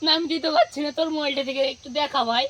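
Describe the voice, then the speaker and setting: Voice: sits high, steady tone, rapid-fire, crowd noise, lightly acted. A performer playing a hapless, plaintive villager in an amateur comedy skit, whining. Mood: pleading.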